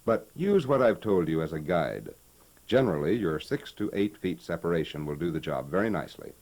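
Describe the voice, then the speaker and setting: An actor playing a patient, knowledgeable American hi-fi expert in a scripted, folksy instructional conversation from 1960. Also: male voice, deep voice, expressive, brisk, darkish tone. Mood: assured. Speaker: presenter explaining stereo equipment. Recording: vintage 1960 promotional tape recording.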